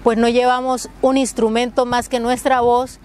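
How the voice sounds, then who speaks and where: A woman speaking slowly and deliberately about traditional singing in a documentary interview. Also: fairly high pitch, flat delivery, a little mellow.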